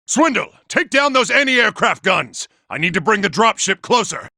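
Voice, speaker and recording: Robot military commander, video game villainy, very harsh and piercing, raspy, impatient, authoritative, dry close sound. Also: male voice, high voice, very animated, moderate pace, no room sound, heavily acted. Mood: commanding, tense. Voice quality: gravelly, raspy. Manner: forceful, barking orders. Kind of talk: video game character acting.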